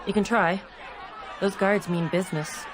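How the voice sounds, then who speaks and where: An actress plays a young elf woman from the slums, fiery and distrustful. She speaks bluntly and urgently at a medium pitch, as in a fantasy game, with a busy crowd and background noise around her. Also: fairly even intonation, normal speed, natural voice. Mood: worried, bitter. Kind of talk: video game voice acting.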